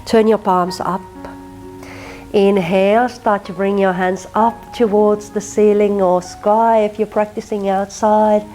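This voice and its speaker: A woman from Finland with a Finnish accent, teaching a gentle yin yoga class and softly cueing breath and movement. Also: mid-range voice, little pitch movement, unhurried, soft background music. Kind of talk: guided yin yoga instruction.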